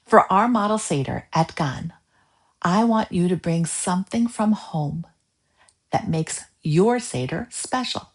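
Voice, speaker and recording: A woman's voice: fairly low pitch, animated, measured pace. A woman voicing a kind, patient teacher of young children, explaining and encouraging.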